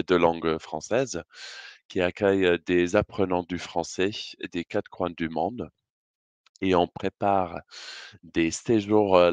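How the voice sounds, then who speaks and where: A British man with a low, deep voice delivers a prepared presentation. His British accent shows, and his phrasing is measured and hesitant. The sound is dry and close, with little room around it. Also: clear, resonant tone, dry recording. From Britain. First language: English. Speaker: conference speaker.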